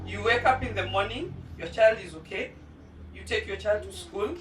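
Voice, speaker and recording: A mother of two special-needs children giving a heartfelt personal testimony at a charity launch, earnest and pausing often. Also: mid-range voice, recorded from a distance, noisy background. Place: indoor event venue. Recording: roomy hall, voice from off-mic.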